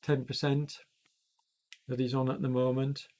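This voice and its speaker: A man hosts live commentary on an online cycling race while fighting a chest cold, so his voice sounds congested. His pitch sits in a middle register. He rambles, fills gaps with ums, and corrects himself mid-sentence. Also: little pitch movement, unhurried, a little mellow. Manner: rambling, self-correcting, many fillers. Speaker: e-racing commentator and streamer.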